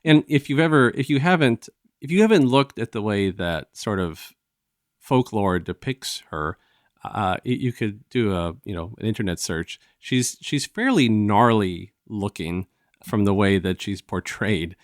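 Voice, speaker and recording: A man hosting a review show, casually retelling a story in a halting, conversational way and acting out bits of dialogue. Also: fairly low pitch, animated, dry recording, clean studio sound. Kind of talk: casual discussion and retelling of an audio drama.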